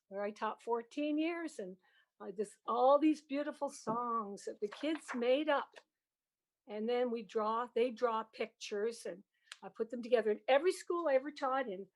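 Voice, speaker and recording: Older canadian lady chatting about her memories, fairly high voice, animated, wanders into asides. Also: poor audio. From Prince Edward Island, Canada. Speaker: guest, music educator and organist. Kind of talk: casual interview reminiscence.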